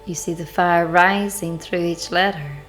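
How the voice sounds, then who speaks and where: A woman leading a devotional guided meditation, speaking in measured, deliberate phrases with pauses. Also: lowish voice, fairly bright tone. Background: background music.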